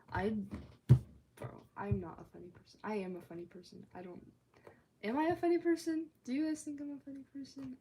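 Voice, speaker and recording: A woman's voice: mid-range voice, dark tone. Teen girl rambling on a phone livestream, medium voice, says like a lot, faint hiss behind. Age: teens. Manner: rambling, chatty, filler-heavy talk with frequent "like". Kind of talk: casual livestream chat. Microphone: phone or tablet.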